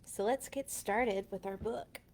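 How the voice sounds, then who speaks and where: Female storytime host, medium pitch, informal read-aloud for kids, chatty asides. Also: a little slow, darkish tone, a little hiss or hum. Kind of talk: casual read-aloud for children.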